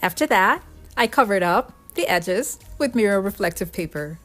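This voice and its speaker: A woman narrates a do-it-yourself craft video in a medium-pitched voice. She explains things step by step as a tutorial host. Music plays behind her voice-over. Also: animated, moderate pace, fairly bright tone. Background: background music.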